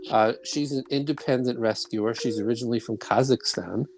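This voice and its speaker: A man's voice: medium pitch, a little fast, creaky, soft background music, poor audio. A middle-aged man from Brooklyn, New York, hosting a podcast in a chatty, rambling way. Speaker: podcast host. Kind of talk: casual chat and interview.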